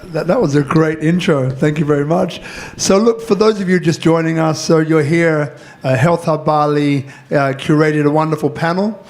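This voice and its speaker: A man's voice: on the high side, moderate pace, darkish tone. A man moderating a panel discussion, thanking guests politely and passing the microphone along. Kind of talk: hosting a panel.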